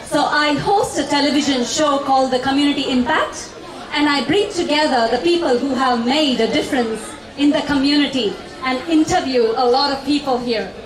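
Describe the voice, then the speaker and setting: A woman's voice: sits high, moderate pace. A woman who hosts a community TV show, giving a formal speech to an event audience. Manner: formal, addresses ladies and gentlemen. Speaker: TV show host and event sponsor representative. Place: large hall at a fashion show. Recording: echoey hall from far off with crowd.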